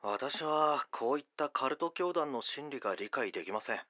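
A man's voice: medium pitch, animated, normal speed, bright, forceful tone, dry recording, studio quality. A man playing a righteous, outspoken lawyer in an audio drama, speaking earnestly and emphatically. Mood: indignant, resolute.

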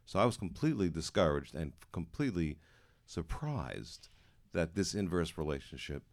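A man in the audience asking the panel a long question, halting and full of fillers. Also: low voice, big pitch swings.

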